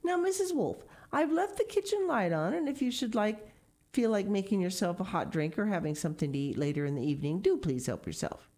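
A woman voicing a flustered, cheerful mother pig in a read-aloud picture book, talking brightly and in a hurry to please. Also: mid-range voice, big pitch swings. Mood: bright, fussing. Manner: bright, hurried, eager to please.

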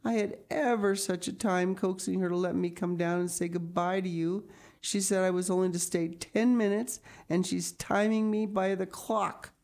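A woman's voice: very high-pitched, expressive, slow pace, creaky, hardly any pauses, mellow, voice pushed up, exaggerated voice. A woman voicing a sad, devoted young girl in a storybook, a loyal best friend who speaks plainly and earnestly. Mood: mournful. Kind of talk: audiobook character reading.

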